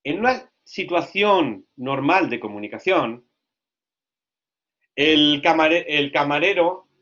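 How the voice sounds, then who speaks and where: Male lecturer, teacher trainer; lively, questioning delivery; a bit high; muffled low-fi audio. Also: takes it slowly. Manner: lively, asks frequent check questions.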